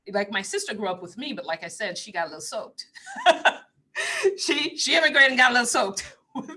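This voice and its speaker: A woman with a lilt talks casually to her live-stream audience in a bright, clear tone. She rambles from thought to thought, laughs often and drops into hushed asides. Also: lowish voice. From Trinidad. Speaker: spiritual teacher on a live stream.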